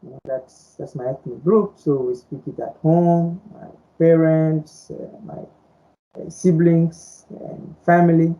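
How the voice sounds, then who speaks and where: A man from Guinea whose first language is Fulani, explaining things at a measured pace with lots of "um"s as a podcast guest. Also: on the high side, steady tone, warm tone. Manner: measured, explanatory, with many fillers. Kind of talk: casual podcast discussion. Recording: dull lo-fi recording.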